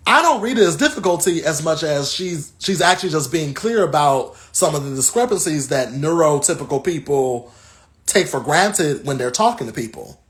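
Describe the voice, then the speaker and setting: An American man from the South giving a reflective book talk and reading aloud, thoughtful and halting, with frequent pauses. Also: fairly high pitch, fairly bright tone. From Southern United States. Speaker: guest reader.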